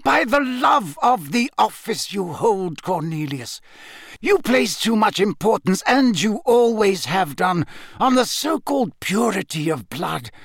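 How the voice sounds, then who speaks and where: An old wizard of great authority acted out by a male audiobook reader speaks with solemn urgency. The pitch is lifted and moves widely on stressed words, each phrase measured and emphatic. The storybook recording is close and dry. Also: big pitch swings, no room sound, heavily acted. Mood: forceful, impassioned. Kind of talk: audiobook character voice.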